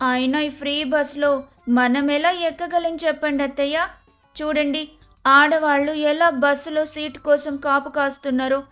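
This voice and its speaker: Very high-voiced, eager young daughter-in-law, acted by a woman. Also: steady tone, moderate pace, a bit bright. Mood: surprised, complaining. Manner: exclamatory, questioning. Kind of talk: dramatised moral story voice acting. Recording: music under the voice.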